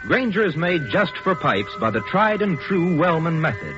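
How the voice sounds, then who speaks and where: A man's voice: a little high, very animated, moderate pace. An American man delivering a polished, emphatic 1940s radio advertisement as commercial announcer. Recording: dull old radio recording, music behind.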